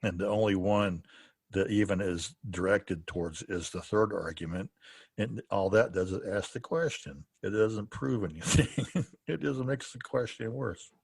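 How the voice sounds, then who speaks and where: A man hosting a podcast in casual conversation, informal and halting in his delivery. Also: fairly low pitch, vocal fry, slightly rough, no echo. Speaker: podcast host.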